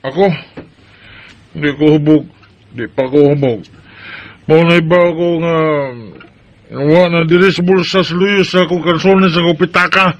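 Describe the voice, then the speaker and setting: An actor playing a drunken, suspicious, quarrelsome husband in a Filipino radio drama, with a Bisaya accent. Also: male voice, a little high, steady tone, moderate pace, pauses often, lo-fi sound, slight background noise, close to an everyday voice. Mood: drunk, grumbling. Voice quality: drunken delivery.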